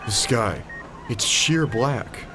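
A man's voice: mid-range voice, expressive, extremely slow, over music. A man playing an earnest, indignant young student in a radio drama, urgent and incredulous in delivery. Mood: shocked, dismayed.

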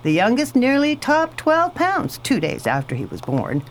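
An actress playing a posh, talkative superintendent's wife in a radio play, genteel and sure of herself. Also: female voice, sits high, huge pitch swings, moderate pace, full character voice. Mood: gracious. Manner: genteel, chatty, self-assured. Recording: faint background noise.

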